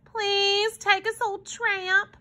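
A woman's voice: very high voice, flat delivery, extremely slow, bright, forceful tone, pitched above the performer's own voice, heavily acted. A woman voicing a blunt, curious little boy in a storybook, with a plaintive, questioning manner. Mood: pleading.

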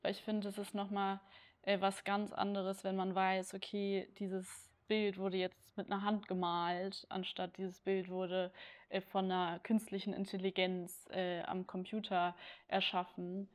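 A woman's voice: mid-range voice, little pitch movement, a little slow. A nineteen-year-old woman, an art student, speaking earnestly in an interview with many fillers, seated indoors. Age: teens. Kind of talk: casual interview talk.

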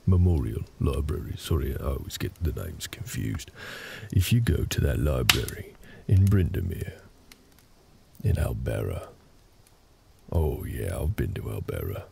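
Big tender orc husband, male actor for intimate comfort audio: extremely creaky low growl, unhurried, reassuring storytelling. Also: a little mellow, a little hiss or hum, heavily acted. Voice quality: very deep, gravelly. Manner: slow, hesitant, reassuring, conversational.

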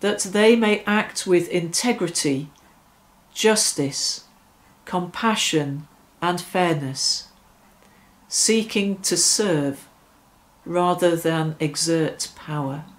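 Slow-paced middle-aged woman leading prayer. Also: fairly low pitch, rough recording. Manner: measured, reverent, with deliberate phrasing. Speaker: church worship leader. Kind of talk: devotional reflection and led prayer. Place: small furnished room indoors.

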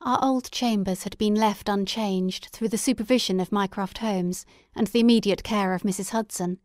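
A woman narrates a literary audiobook. Her voice sits at a medium pitch and is recorded dry, with no room around it. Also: fairly even intonation, normal speed, darkish tone, no room sound.

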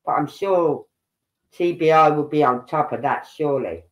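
A man's voice: fairly high pitch, moderate pace, fairly bright tone. An older man with a congested nose, musing aloud as he hosts a casual true-crime livestream from home. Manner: rambling, musing aloud, breaks off mid-sentence. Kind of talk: casual livestream commentary reading posts aloud.

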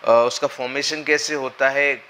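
A young man lectures at a whiteboard in a slightly hoarse voice, the lingering trace of a cold. His tone is bright and forceful, and he talks through each point patiently, pausing often to check that students are following. The lapel mic picks up a faint hiss of room ambience in the classroom. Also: mid-range voice, fairly even intonation. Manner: explanatory, with frequent check-ins and hesitations. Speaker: exam coaching teacher.

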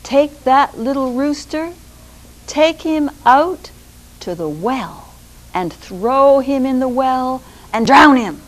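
A woman voicing a fat, greedy male sultan who gives slow, loud commands in a storybook tale. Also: sits high, lively intonation, low-quality recording. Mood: commanding.

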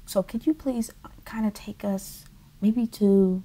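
Mellow-voiced woman hosting a podcast. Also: mid-range voice, fairly even intonation, takes it slowly, low-quality recording. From United States. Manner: upbeat, searching for words. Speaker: podcast host. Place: home room. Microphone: earbud headset mic on a video call.

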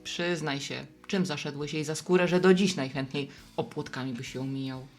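An actress playing a friendly, wise, gently teasing middle-aged woman who runs a bar, in conversational radio play dialogue. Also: low-pitched, normal speed, faint music behind. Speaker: barkeeper.

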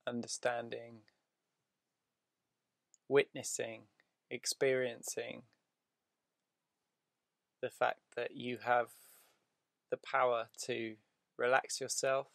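A male meditation guide speaks very slowly and evenly, with long pauses between short phrases. His soothing voice has a gravelly fry to it and is recorded close and dry. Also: mid-range voice, flat delivery, a bit bright, no room sound. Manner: soothing, deliberate, with drawn-out pauses.

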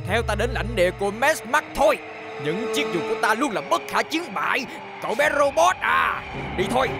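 High-pitched theatrical cartoon villain. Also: male voice, expressive, fairly quick, creaky, music in the background, heavily acted. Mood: gloating. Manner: melodramatic, cackling. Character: theatrical male umbrella villain, boastful then cowardly and pleading. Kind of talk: dubbed children's cartoon acting.

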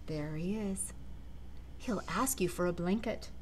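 A woman's voice: lowish voice, measured pace, a little mellow. A middle-aged American woman reading a children's storybook aloud, expressively, with chatty asides to young listeners. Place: large living room, indoors. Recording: clear background room noise.

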